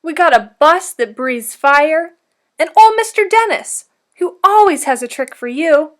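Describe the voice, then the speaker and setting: A woman's voice: high voice, expressive, measured pace, pitched above the performer's own voice. A woman voicing an upbeat, wise grandmother in a storybook, with an emphatic, encouraging delivery.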